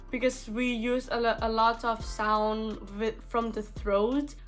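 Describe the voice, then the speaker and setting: A young woman from Indonesia with an Indonesian accent, talking casually to camera from a small bedroom, full of "like" fillers and restarts. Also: a little high. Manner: chatty, many "like" fillers and restarts. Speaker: vlogger.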